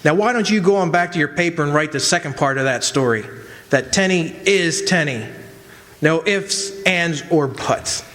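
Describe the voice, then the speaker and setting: An unbending male prosecutor played by an actor argues in a high voice. He is emphatic and dismissive, firing off rhetorical questions. The old-style radio theatre delivery echoes in a hall. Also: lively intonation, normal speed, a bit bright. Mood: insistent, dismissive. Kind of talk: old-time radio drama acting. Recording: echoey hall stage recording.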